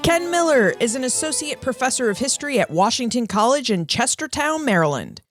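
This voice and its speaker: A woman's voice: a little high, sing-song, brisk, music in the background. A woman hosting a history podcast, reading a scripted introduction and then welcoming and questioning her guest in an upbeat, friendly way. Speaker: podcast host.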